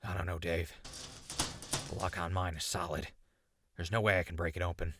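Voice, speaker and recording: An actor playing a captured radio host in a post-apocalyptic audio drama, urgent and stubbornly hopeful, with a gravelly, husky voice. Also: male voice, sits low, little pitch movement, moderate pace, background noise. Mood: worried, urgent.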